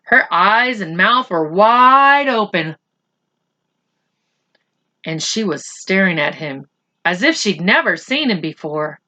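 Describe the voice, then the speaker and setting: A woman reading a story aloud to children expressively and deliberately, with teacherly asides. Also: medium pitch, expressive, bright, forceful tone.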